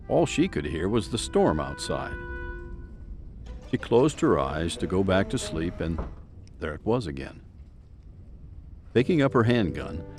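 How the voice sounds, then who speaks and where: A man narrating a scripted mystery audio drama in a deliberate, suspenseful manner. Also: medium pitch, a little mellow.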